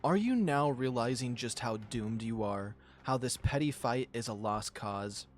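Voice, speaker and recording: A proud lion emperor from a visual novel, voiced by a man, regal and stoic. He speaks with measured, smug condescension at a middling pitch. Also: animated, natural voice. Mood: condescending. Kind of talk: visual novel character acting.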